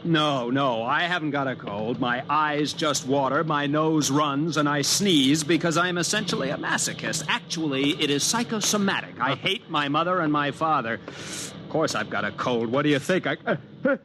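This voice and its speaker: An actor playing a sardonic young college student with a cold in a 1950s radio drama, dry and sarcastic. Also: male voice, on the high side, normal speed, hardly any pauses, close to an everyday voice. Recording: noisy old radio recording.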